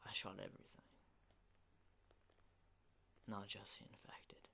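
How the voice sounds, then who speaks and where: A man playing an unhinged, menacing survivor of a zombie apocalypse in a halting, intimate ASMR roleplay, in breathy whispers. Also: pushed and bright. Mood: unhinged confession. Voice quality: breathy whisper with low creaky undertones.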